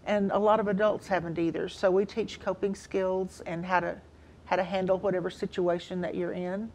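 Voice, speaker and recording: A woman's voice: lowish voice, flat delivery, normal speed, a little mellow, slight background noise. A woman in her sixties explaining things as a counselor and guest in a studio interview. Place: TV studio, seated indoors.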